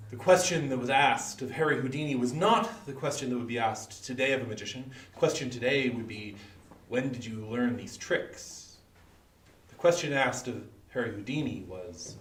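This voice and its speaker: A man's voice: medium pitch, slight background noise. Echoey, theatrical man presenting like a hypnotist. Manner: deliberate, dramatic phrasing with pauses and repetition. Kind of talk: theatrical hypnosis demonstration patter. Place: echoey room with an audience, streamed live. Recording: echoey room, distant microphone, online stream.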